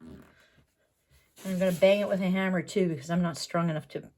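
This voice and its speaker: A middle-aged woman, hoarse from a cold, chatting through a step-by-step sewing tutorial with frequent fillers. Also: fairly low pitch, normal speed, darkish tone, slight background noise. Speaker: sewing pattern designer.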